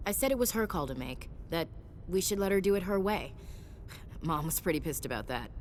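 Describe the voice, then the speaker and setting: Woman playing a low-voiced, candid female soldier. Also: expressive, fairly quick, darkish tone, slight background noise, lightly acted. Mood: earnest, proud. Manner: frank, conversational, informal. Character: tough, candid female soldier, protective big sister. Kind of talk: naturalistic video game dialogue acting.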